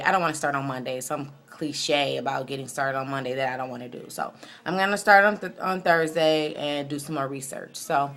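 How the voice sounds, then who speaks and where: A woman in her late thirties with a low-pitched voice, speaking as a video blogger. She rambles in a candid, conversational way, reflecting on herself as she goes. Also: a bit bright. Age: late 30s. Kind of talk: casual personal vlog, rambling chat.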